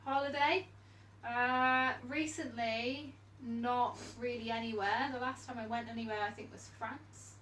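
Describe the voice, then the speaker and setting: A woman's voice: fairly high pitch, steady tone, a little slow, a bit bright, a little hiss or hum. A woman who works as a dental nurse, introducing herself modestly and wryly in a training group. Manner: modest, with wry understatement. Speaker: dental nurse taking part in a session. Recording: echoey room, mic at a distance.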